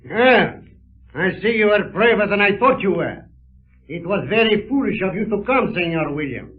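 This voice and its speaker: Casting: swaggering Spanish duelist villain, high male voice, menacing and pompous, old narrow radio sound. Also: expressive, moderate pace. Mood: boastful, taunting. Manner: pompous, taunting, formal speech. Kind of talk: 1930s radio serial acting. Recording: scratchy narrow-band 1930s radio recording.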